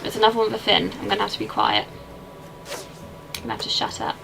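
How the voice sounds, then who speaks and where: Chatty English woman with a gravelly edge. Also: mid-range voice, sing-song, fast talker, noisy background. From England. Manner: chatty and rambling, answers chat. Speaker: streamer. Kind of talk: casual chat while playing a game.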